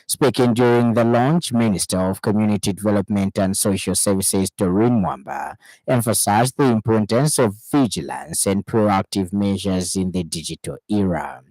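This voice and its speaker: Low-voiced Zambian newsreader, a man. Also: normal speed, a little mellow, no echo, poor audio. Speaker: radio news presenter. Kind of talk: scripted news bulletin reading. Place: radio studio.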